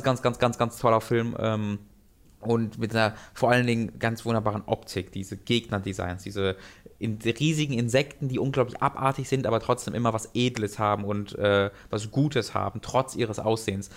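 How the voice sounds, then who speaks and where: A young man talking about anime and video games in a casual podcast chat, animated and rambling with many restarts. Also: lowish voice, normal speed. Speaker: podcast co-host.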